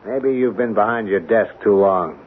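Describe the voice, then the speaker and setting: A male actor playing an earnest, protective middle-aged colonel in a 1970s radio drama, speaking in a measured, emphatic way with pointed rhetorical questions. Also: fairly low pitch, lightly acted. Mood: indignant, pleading. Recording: tinny, band-limited 1970s radio recording.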